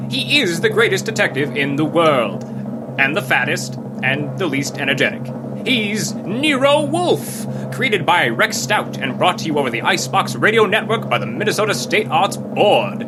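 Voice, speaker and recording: A man playing an excitable old-time radio announcer, introducing a mystery in a grand, dramatic, declamatory style. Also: a little high, moderate pace, loud music behind the voice. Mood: grand, enthusiastic.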